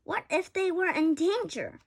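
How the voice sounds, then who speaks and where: A woman's voice: very high voice, measured pace, vocal fry. A woman reading a children's picture book aloud expressively, with occasional stumbles and self-corrections. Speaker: story reader.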